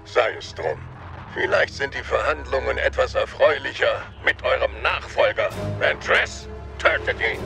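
Haughty, creaky old villainous count. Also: male voice, mid-range voice, unhurried, over music. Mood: threatening. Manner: formal, haughty, coolly threatening. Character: suave, menacing aristocratic old male Sith count.

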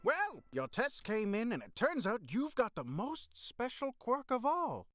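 A man's voice: very high-pitched, wildly swooping pitch, unhurried, music in the background, heavily acted. A man voicing a breezy, smug, oblivious older doctor in an anime parody, folksy in manner. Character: quack older male doctor, breezy and tactless. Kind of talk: anime parody dub acting.

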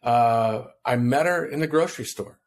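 A man from Hawaii in his fifties tells a personal story as a podcast guest, relaxed and rambling, acting out the lines of the people in it. He speaks into a podcast microphone from home. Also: medium pitch, normal speed. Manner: relaxed, rambling storyteller who acts out quotes. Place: home room on video call. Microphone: podcast mic in front of him.

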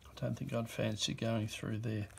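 A man presenting an auction lot, reading postcard captions aloud in short, unhurried bursts at a desk. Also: fairly low pitch, level tone, creaky, slight background noise. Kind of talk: reading out postcards while browsing. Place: indoor office desk.

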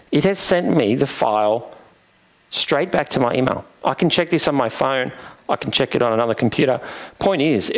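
A man, giving an informal software tutorial into a headset microphone. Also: mid-range voice. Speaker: presenter, software vendor demonstrating a product. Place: indoors, presenting at a computer.

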